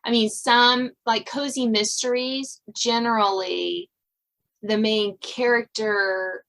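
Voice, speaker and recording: A woman's voice: a little high, fairly even intonation, slow, fairly bright tone. A woman hosting a podcast interview, talking in a chatty, laughing way with many fillers. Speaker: podcast host. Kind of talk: casual interview chat.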